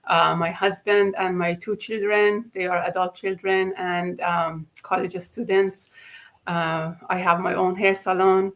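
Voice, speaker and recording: A woman in her forties, originally from Iran, introduces herself on a video call. Her voice sits low for a woman and stays flat in tone. She speaks earnestly, pausing often with fillers. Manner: earnest, hesitant with many fillers. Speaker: hairstylist.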